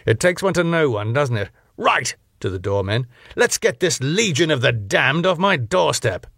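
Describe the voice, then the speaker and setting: A man's voice: on the high side, big pitch swings, moderate pace, vocal fry, a bit bright, no echo, clean studio sound, pitched above the performer's own voice, exaggerated voice. A man voicing a pompous, hostile older ballroom owner who talks down to people and snaps with outrage. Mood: haughty.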